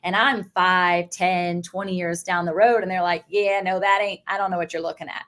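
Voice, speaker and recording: A woman's voice: lowish voice, flat delivery, normal speed, fairly bright tone. A woman hosting a podcast, affirming her guest and speaking reflectively from her own experience as an entrepreneur. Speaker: podcast host. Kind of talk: conversational interview.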